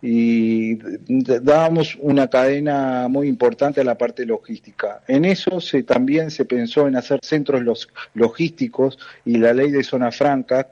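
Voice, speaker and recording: A man's voice: mid-range voice, dark tone, highs cut off. A Uruguayan man with a Rioplatense Spanish accent, a transport company director explaining things as an interview guest, hesitant, with many fillers and restarts. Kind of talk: interview guest, phone or remote call.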